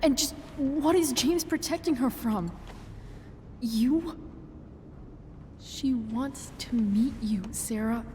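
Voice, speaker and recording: A young woman playing an earnest, grieving teenage girl, blunt, halting and sincere, in a dramatic video game cutscene. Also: a little high, dark tone, in a large hall, slight background noise. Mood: anxious, indignant. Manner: halting, sincere, sometimes profane.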